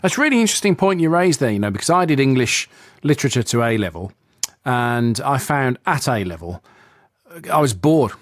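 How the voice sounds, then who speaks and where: A man's voice: mid-range voice, big pitch swings, brisk, no room sound, studio quality. Chatty male radio presenter. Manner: chatty, enthusiastic, rambling questions. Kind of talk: radio interview. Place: radio studio.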